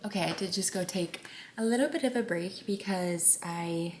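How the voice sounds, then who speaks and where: A young woman with a soft, gentle voice, chatting casually through a makeup routine, rambling and sometimes whispering. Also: fairly low pitch, moderate pace. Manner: rambling, drops into whispers at times. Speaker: beauty vlogger. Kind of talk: soft chatty get-ready-with-me vlog. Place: home. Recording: echoey room with faint background noise.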